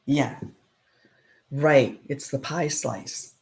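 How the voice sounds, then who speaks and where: A middle-aged woman whose voice sits very low and carries a gravelly fry. She chats in a relaxed way, laughs often and thinks aloud while she works with her hands. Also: drawn-out words. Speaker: potter and channel host. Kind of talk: casual live-stream chat.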